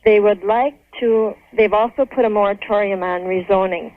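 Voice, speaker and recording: A woman's voice: medium pitch, fairly even intonation, normal speed, no echo. American woman caller on tinny phone line. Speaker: caller to radio show. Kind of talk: conversational phone call. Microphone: telephone.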